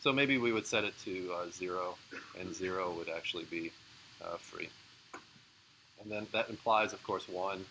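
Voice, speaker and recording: A man's voice: deep voice, normal speed, bright, forceful tone, slight background noise, low-quality recording. A man giving a live university lecture, explaining in a casual way with frequent fillers and answering student questions. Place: lecture room.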